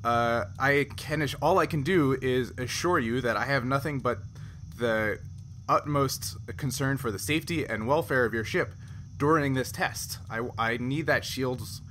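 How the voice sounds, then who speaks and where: A man acts out an exasperated male scientist in a tabletop roleplay, formal and insistent. The voice is bright and forward at a middling pitch, over noticeable background noise. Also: measured pace, close to an everyday voice. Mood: impatient, defensive. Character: testy, defensive male chief scientist, impatient but polite.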